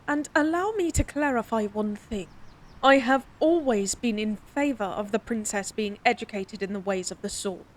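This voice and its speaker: A woman voicing a stern, prim noblewoman attendant in a fantasy audio drama, sharp and scolding. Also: on the high side, measured pace, slight background noise, natural voice, poor audio. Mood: exasperated. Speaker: princess's attendant.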